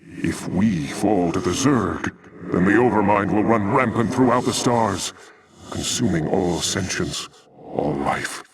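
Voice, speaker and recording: A man's voice: sits low, slow pace, creaky, distant mic, exaggerated voice. A man voicing a noble alien warrior leader in a video game, solemn and heroic, with grand formal speech. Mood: solemn, resolute. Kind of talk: theatrical video game acting.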